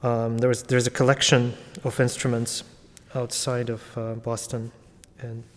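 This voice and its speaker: A man's voice: medium pitch, monotone, a little slow, big-room sound. A man in his thirties from Latvia and raised in Ukraine, a classical pianist chatting casually as an interview guest, thoughtful and full of fillers and restarts.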